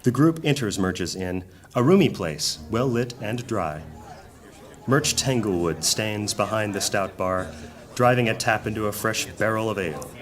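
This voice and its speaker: A man playing the measured narrator of a fantasy audio drama, telling the story from a script. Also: fairly low pitch, lively intonation.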